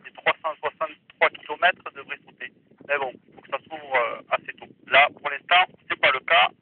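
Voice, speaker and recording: A man's voice: a little high, moderate pace, gravelly, a little hiss or hum. Man over a tinny walkie-talkie link. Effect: walkie-talkie radio transmission. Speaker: radio operator, remote contact. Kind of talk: casual two-way radio chat.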